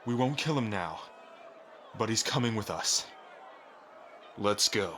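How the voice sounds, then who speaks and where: A man's voice: lowish voice, lively intonation, slow, sharp tone, some chatter behind, slight background noise, heavily acted. A man playing a loyal, hot-headed rebel in an ASMR roleplay, protective, vengeful, earnest and emphatic in delivery. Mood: grim, resolute.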